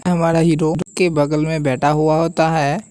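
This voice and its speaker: A woman's voice: deep voice, level tone, unhurried, mellow, no echo, clean studio sound. A woman narrating an informal story recap in loose, repetitive, improvised phrasing. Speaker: narrator explaining a manhwa story.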